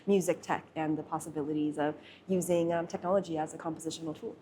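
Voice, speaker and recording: A young woman who grew up in Taiwan talks easily and casually, with plenty of filler words. Her voice sits fairly low. A faint ambient hum runs under her speech. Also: steady tone, quick pace, dark tone. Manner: chatty, uses many fillers. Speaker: sound artist guest. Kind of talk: casual conversation.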